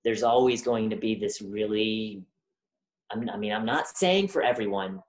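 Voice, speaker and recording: A young woman with a deep voice from testosterone, speaking as a guest in a reflective, halting interview conversation. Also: reverberant. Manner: halting, thoughtful, many fillers and restarts.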